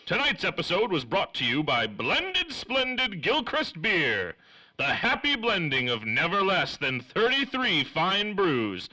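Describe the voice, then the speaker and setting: An actor playing a 1930s radio serial announcer and sponsor pitchman, with emphatic, theatrical delivery. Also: wildly swooping pitch, unhurried, bright, forceful tone, exaggerated voice, rough recording. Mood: showy.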